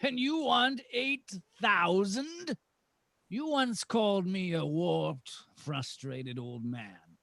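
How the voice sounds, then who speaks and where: An actor playing a cold, sneering old banker in 1940s radio drama, with a gravelly voice and deliberate, probing delivery. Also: male voice, high-pitched, animated, bright, forceful tone, no room sound, clean studio sound, heavily acted. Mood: cold contempt. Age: older.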